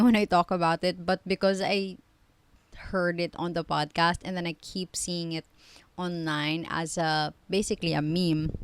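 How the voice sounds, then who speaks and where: A woman's voice: lowish voice, smooth, dry recording. A woman, chatting casually in a rambling vlog with frequent self-corrections and giggly asides. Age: 20s. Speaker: vlogger.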